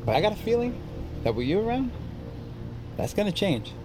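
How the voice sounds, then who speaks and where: An actor playing a chatty, upbeat man hungry for excitement in an audio drama, with a casual, playful manner. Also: high voice, fairly quick, music in the background. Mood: upbeat, teasing. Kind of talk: naturalistic audio drama acting.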